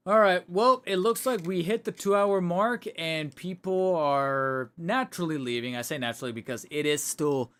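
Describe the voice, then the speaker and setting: A man's voice: on the high side, normal speed, few pauses, fairly bright tone, clear, resonant tone. A man in a casual livestream chat, rambling and correcting himself, his voice getting tired. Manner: rambling, self-correcting, chatty. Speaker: streamer. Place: home room. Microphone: desk microphone.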